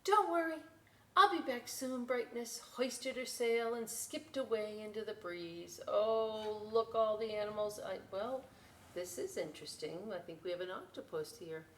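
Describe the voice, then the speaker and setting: Woman, storytime host, slow pace, emphatic, informal, roomy echoing sound. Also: mid-range voice, a little hiss or hum. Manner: expressive, emphatic, unhurried delivery. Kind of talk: children's read-aloud with informal asides. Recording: echoey room.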